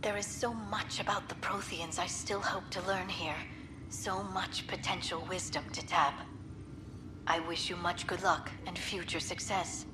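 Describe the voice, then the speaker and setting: Woman as lowish-voiced scholarly alien archaeologist. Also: steady tone, measured pace, natural voice, poor audio. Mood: warm, wistful. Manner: earnest, courteous, formal. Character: polite, scholarly young female alien archaeologist. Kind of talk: video game voice acting. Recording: music playing behind.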